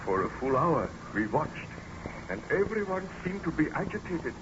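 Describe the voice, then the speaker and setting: An actor playing an obsessed, weary baron and scientist in a theatrical, declamatory old radio drama style. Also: male voice, fairly high pitch, sing-song, normal speed. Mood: tense narrating. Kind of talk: 1930s-40s radio serial acting. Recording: muffled, noisy old radio recording.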